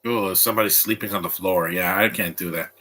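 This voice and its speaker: A man's voice: low-pitched, steady tone, a little fast, bright, forceful tone, a little hiss or hum. A man giving casual live commentary while watching a film at home, rambling and restarting his sentences often. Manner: rambling, stop-start with repeated restarts. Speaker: movie commentator.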